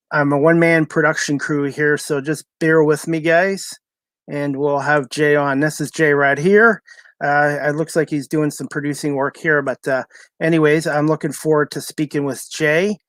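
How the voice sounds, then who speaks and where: A man's voice: a little high, fairly bright tone, dry recording. Canadian male host, easygoing, filler-heavy, plain dry mic. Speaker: talk show host. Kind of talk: casual live show chat.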